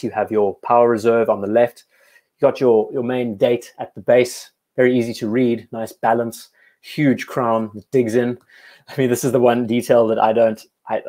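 A man's voice: mid-range voice, a little mellow. A man hosting a live watch stream, chatting casually and thinking aloud as he comments on viewers' photos, often restarting his sentences. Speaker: watch show host.

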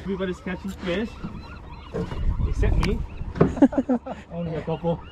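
High-voiced man on a noisy boat. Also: unhurried. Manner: laid-back, easygoing, says "dude". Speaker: fishing trip vlog host. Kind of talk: casual chat while fishing. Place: open fishing boat at sea, outdoors. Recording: outdoor recording with loud wind and boat noise. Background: wind, water and boat engine.

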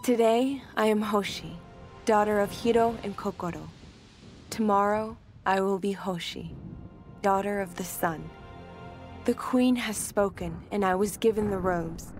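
A woman's voice: medium pitch, measured pace, lightly acted. Woman playing an earnest, uneasy young priestess. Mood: solemn pride. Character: uneasy, dutiful young priestess of an ancient queen. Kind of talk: acted reading of a diary. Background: music.

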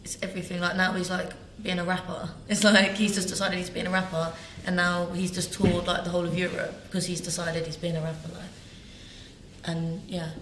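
A woman with a lowish voice gushes on a panel, leaning on "like" between every phrase. Echo fills the studio and a faint hiss hangs behind. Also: level tone, brisk, a bit bright. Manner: enthusiastic, heavy on "like". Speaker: panelist, writer. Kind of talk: casual panel discussion.

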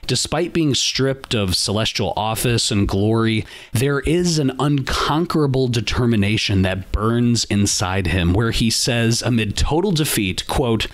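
A man's voice: medium pitch, expressive, unhurried, few pauses. A man hosting a podcast, delivering a scripted monologue in an earnest, emphatic way. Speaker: podcast host.

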